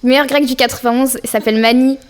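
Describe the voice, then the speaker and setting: A woman's voice: sits high, steady tone, fast talker. A young woman from France, a podcast guest, chatting casually and easily with plenty of fillers and slang. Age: young adult. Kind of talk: casual interview chat.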